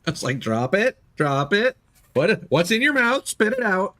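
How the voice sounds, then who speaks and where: A man's voice: sits high, sing-song, moderate pace, sharp tone, slight background noise. A man live-streaming a video game with casual, reactive commentary, muttering asides to himself and swearing freely.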